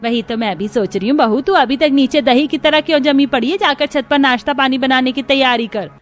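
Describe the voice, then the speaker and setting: Woman acting nagging, stingy mother-in-law, high-pitched. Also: a little fast, soft background music, natural voice. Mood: sarcastic, bossy. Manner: nagging, bossy, fault-finding. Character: stingy, scolding middle-aged mother-in-law in a village household.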